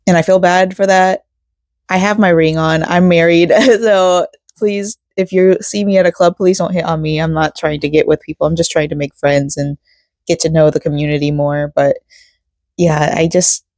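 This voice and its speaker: Low, hoarse American woman chatting. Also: a little mellow, no room sound, studio quality. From Florida, United States. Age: 30s. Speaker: vlogger. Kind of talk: casual, rambling personal vlog chat.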